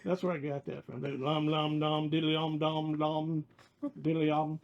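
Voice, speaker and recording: A man's voice: on the high side, flat delivery, normal speed, lo-fi sound. A man chatting casually during a table read, in a laughing aside.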